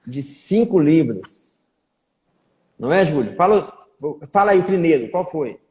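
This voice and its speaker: A man's voice: fairly high pitch, very animated, a little slow, a little mellow. A man, a neurologist, hosting a casual live-stream interview in a chatty way, often tagging his sentences with little check-ins. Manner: chatty, repeats fillers like "né". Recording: echoey room with long reverb.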